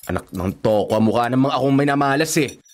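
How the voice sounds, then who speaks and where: An actor playing a hard-up, skeptical younger brother who grumbles in exasperation, in a radio drama. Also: male voice, medium pitch, fairly quick, close to an everyday voice. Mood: exasperated.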